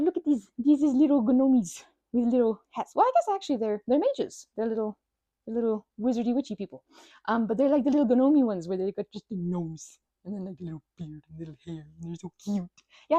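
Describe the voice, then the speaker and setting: A woman doing an ASMR best-friend roleplay, chatting in a rambling, scattered way with playful, childlike excitement. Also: fairly high pitch, no echo, dull audio. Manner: rambling, scattered, jumping between asides.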